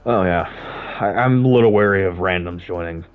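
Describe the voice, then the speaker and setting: A low-pitched man chats casually over a game, cracking jokes, swearing and laughing a lot as he builds. His voice comes through dull and lo-fi. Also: normal speed. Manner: joking, laughs often, swears. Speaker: gamer. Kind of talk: casual gaming chat. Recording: dull lo-fi sound.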